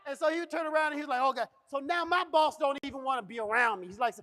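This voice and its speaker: A very high-voiced man tells comic stories from a stage in a big reverberant hall. He is animated and acts out both sides of conversations as he goes. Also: racing, shouting. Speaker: guest speaker at a fundraising event. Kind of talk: humorous storytelling on stage. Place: large event hall before live audience. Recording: echoey hall PA sound.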